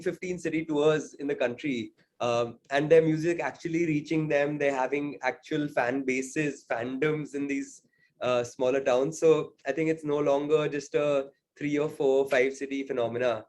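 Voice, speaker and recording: A man's voice: a little high, fairly even intonation, moderate pace, a little mellow, a little hiss or hum. A man being interviewed as a singer-songwriter, talking casually and thoughtfully, hedging often with "sort of" and "I think".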